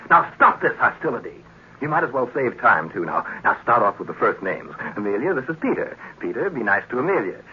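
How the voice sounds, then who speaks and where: An actor playing a self-assured, talkative young time traveller who is fussily polite and insistent, in 1950s radio drama style. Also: male voice, medium pitch, sing-song, a little fast, close to an everyday voice. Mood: fussy, coaxing. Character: brisk, chatty, self-assured young male time traveller, meddling grandson. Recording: muffled, noisy 1950s radio recording.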